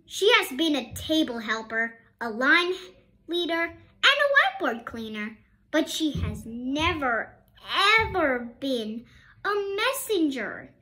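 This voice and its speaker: A woman's voice: high voice, very animated, takes it slowly, pushed and bright. A woman reading a children's picture book aloud expressively, with questioning asides to young listeners. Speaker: storybook reader.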